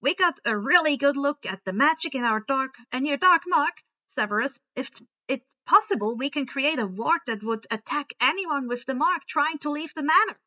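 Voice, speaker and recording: An eager schoolboy put on by a woman reader speaks high and bright in a storybook tale. He is earnest and a little halting, on a slightly dull recording. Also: expressive.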